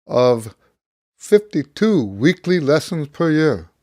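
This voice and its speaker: A middle-aged American man, slightly hoarse as he gets over losing his voice, talking casually and ramblingly like a teacher sharing experience. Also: a little high, big pitch swings, slow pace, darkish tone, a little hiss or hum. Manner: rambling, conversational, thinking aloud. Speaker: trumpet teacher. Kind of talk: casual talk to camera, personal anecdote.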